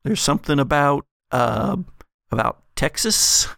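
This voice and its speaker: A man voicing a shaken, confused man in a gothic horror game, stammering and halting. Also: on the high side, animated, gravelly, a bit bright, no echo, studio quality, full character voice. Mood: dazed, freaked out.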